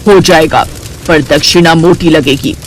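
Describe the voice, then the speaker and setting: A woman's voice: deep voice, a little slow, slight background noise. Woman playing an ominous, greedy sorceress. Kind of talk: Hindi cartoon story character acting.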